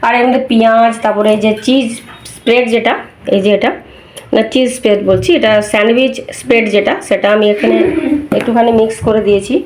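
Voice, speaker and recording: A woman's voice: on the high side, fairly even intonation, fast talker. A woman with an Indian Bengali accent chatting casually for a family vlog, often repeating her phrases. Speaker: family vlogger. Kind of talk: casual home vlog chat. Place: indoors at home. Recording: roomy echo, loud household background noise.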